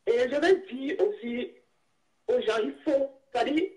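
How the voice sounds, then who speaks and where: Expressive woman calling a talk show. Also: high-pitched, unhurried, low-quality recording. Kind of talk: casual chat and advice.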